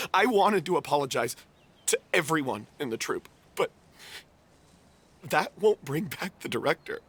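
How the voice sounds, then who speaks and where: Game role: self-blaming young man, husky, emotionally wide-ranging, halting. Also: high voice, bright, forceful tone, full character voice. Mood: anguished remorse. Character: guilt-ridden young male theater troupe member confessing a mistake. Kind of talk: video game voice acting.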